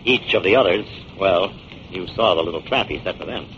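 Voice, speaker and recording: A man's voice: low voice, fairly quick, fairly bright tone. An actor playing a confident male detective in 1940s radio drama, explaining things in an assured way. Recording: muffled, noisy 1940s radio recording.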